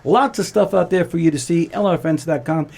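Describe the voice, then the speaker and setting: An older man hosting a talk radio show with a playful, hammy announcing manner and a husky voice. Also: a little high, brisk, darkish tone. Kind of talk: talk radio hosting and live sponsor reads.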